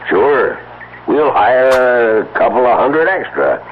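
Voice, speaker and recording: A man's voice: medium pitch, very animated, slow pace. A man playing a skeptical, sardonic small-town policeman with a dry manner, in an old-time radio drama. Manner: dry, sarcastic. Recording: muffled narrowband old radio recording, faint hiss.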